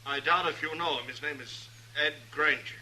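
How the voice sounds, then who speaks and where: Tense, slippery western villain, noisy recording. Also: male voice, a little high, bright, forceful tone. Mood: grudging. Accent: American. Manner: slippery, halting, pleading. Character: jailed scheming villain, evasive, cowardly under pressure. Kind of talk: 1950s American radio western acting. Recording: noisy old radio recording.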